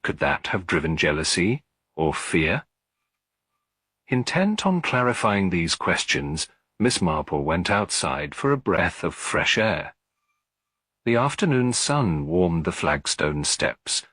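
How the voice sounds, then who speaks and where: A man's voice: deep voice, a bit bright, breathy edge, dry recording. A man reading a scripted detective story as an audiobook narrator.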